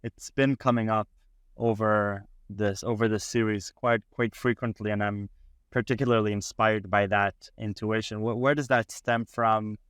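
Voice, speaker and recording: A man's voice: on the low side, fairly even intonation, normal speed, clear, resonant tone, no echo. Encouraging man interviewing a guest. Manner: warm, encouraging, with many "uh" fillers and repeated words. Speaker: podcast host.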